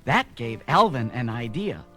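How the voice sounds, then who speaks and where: Animated male children's storyteller. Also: medium pitch, a little slow, soft background music. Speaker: narrator. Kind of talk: scripted children's story narration.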